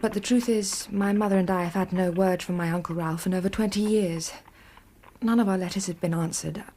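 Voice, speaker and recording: An actress playing a composed young governess, soft-spoken and polite, in a radio drama. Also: female voice, medium pitch, fairly even intonation, fairly quick, a little hiss or hum, natural voice. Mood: reserved. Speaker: Violet Smith.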